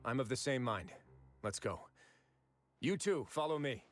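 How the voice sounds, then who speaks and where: An actor playing a composed male fortress warden in a video game, speaking in a calm, measured, authoritative way. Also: medium pitch, natural voice. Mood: deliberate. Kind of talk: game cutscene voice acting. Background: faint game music.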